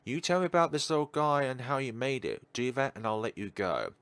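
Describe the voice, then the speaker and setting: Man reading as eager young alchemist, animated delivery. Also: medium pitch, brisk, clean tone, no echo, voice pushed up. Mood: bargaining. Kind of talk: read-aloud visual novel dialogue. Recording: background music under voice.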